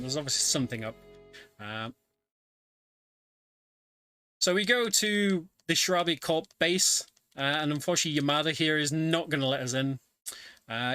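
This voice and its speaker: A man's voice: a little high, bright, forceful tone, dry recording. A man giving casual live speedrun commentary in a chatty, hesitant way. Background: video game music.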